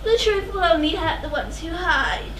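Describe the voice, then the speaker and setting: A woman playing a high-pitched, ominous blind seer. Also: moderate pace, a bit bright. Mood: accusing. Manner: declamatory, taunting, foreboding. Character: blind old female seer, ominous and defiant. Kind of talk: theatrical verse stage acting. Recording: echoey theatre, distant mic, faint noise.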